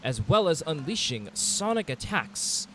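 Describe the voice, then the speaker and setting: A man's voice: on the high side, big pitch swings, unhurried, pushed and bright, a little hiss or hum. A man narrating a monster-hunting game in the first person, in a dramatic storytelling manner, as a scripted adventure. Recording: music behind the voice.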